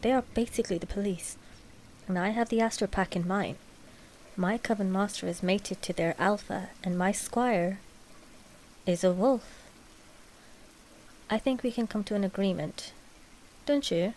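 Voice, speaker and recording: A woman playing a doll-like vampire royal, sweet and scheming, speaking formally and deliberately in a scripted ASMR roleplay. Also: mid-range voice, a little mellow, slight background noise, lightly acted, low-quality recording. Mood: persuasive.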